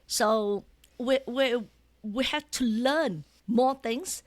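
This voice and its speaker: A Malaysian woman with a Malaysian accent, whose first language is Malay, telling stories as an interview guest in a lively, chatty way, often adding tag questions. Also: on the high side, very slow. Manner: animated, often ends phrases with tag questions. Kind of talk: casual storytelling interview.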